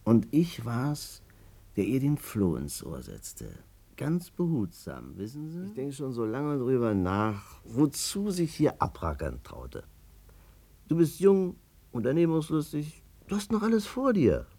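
Swooping, smooth-talking charmer. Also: male voice, mid-range voice, wildly swooping pitch, measured pace, mellow, heavily acted. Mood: coaxing. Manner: colloquial, insinuating, emphatic storyteller. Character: smooth-talking, boastful ladies' man, Berlin salon helper. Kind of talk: 1950s German radio play acting.

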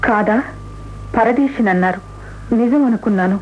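A woman's voice: mid-range voice, normal speed, narrow, tinny audio, slight background noise, close to an everyday voice. A woman playing an anxious, pleading figure in a period romance radio play, speaking earnestly and dramatically. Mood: hurt.

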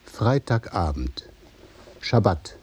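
A man narrating a literary radio play in a measured, observational way. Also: fairly low pitch, very animated, darkish tone, breathy edge, heavily acted. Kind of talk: scripted literary narration in present tense.

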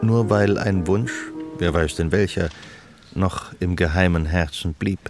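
A guarded, evasive man of letters in an intimate radio play. His voice is fairly low with a creaky, gravelly edge, and he speaks tersely and with understatement. Music runs clearly behind him. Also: a little slow. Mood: brooding. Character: evasive, guarded male author, a former lover. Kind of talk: literary radio play, intimate dialogue and narration.

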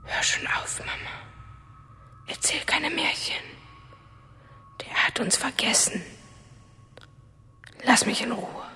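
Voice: mid-range voice, animated, takes it slowly, pauses often, bright, forceful tone, husky, whispered, full character voice. A performer playing a sullen, defiant thirteen-year-old son, muttering dismissively in a radio drama. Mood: sullen, fed up.

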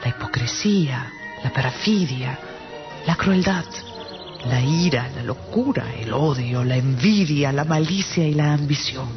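A man playing a stern, haughty monarch in a radio drama, declaiming solemnly and deliberately. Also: medium pitch, animated, dull audio, over music, lightly acted. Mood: scornful, indignant. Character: stern, haughty monarch of giants condemning humankind. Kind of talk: theatrical radio drama acting.

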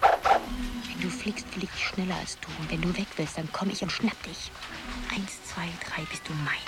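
A woman's voice: lowish voice, fairly even intonation, normal speed, whispered, over loud music, close to an everyday voice. An actress playing a dreamy young woman absorbed in a computer game, musing half to herself in a radio drama. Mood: playful, hushed.

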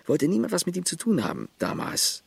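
A man's voice: on the high side, wildly swooping pitch, fairly quick, gravelly, slightly rough, dry recording, studio quality, exaggerated voice. An actor playing a terse village man who looks after his grandfather, matter-of-fact in a radio play. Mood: storytelling.

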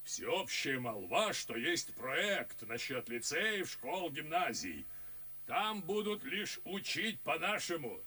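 Radio play: bluff colonel, self-satisfied, rough husky voice, deliberate and hugely animated. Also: male voice, on the high side, full character voice. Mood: smug. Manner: deliberate, emphatic, blustering. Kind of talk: classic verse comedy radio play acting.

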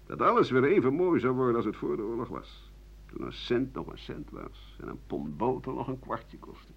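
A man's voice: mid-range voice, big pitch swings, moderate pace, gravelly, breathy edge, full character voice. An actor playing an embittered ageing writer and doctor who rambles sardonically through his memories in a radio monologue. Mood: bitter, wistful. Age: older. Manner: rambling, bitter, sardonic, with muttering and dry chuckles. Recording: dull 1980 radio play recording.

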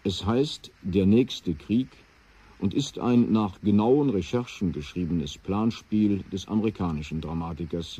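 A man working as a radio announcer, giving a sober, formal, measured scripted announcement. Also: low-pitched, mellow, breathy edge, dull audio.

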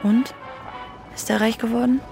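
A quietly probing woman in a radio play, intimate and understated. Her voice is very creaky and flat in melody, with clear music behind it. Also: normal speed. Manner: low-key, probing, terse questions. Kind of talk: intimate radio drama acting.

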